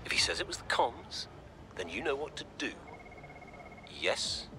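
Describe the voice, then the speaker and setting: An actor playing a domineering middle-aged racing team owner in a video game, quiet, clipped and commanding. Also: male voice, mid-range voice, very animated, a little slow. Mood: quietly threatening. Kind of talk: video game cutscene acting. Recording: clear background ambience, vehicle noise.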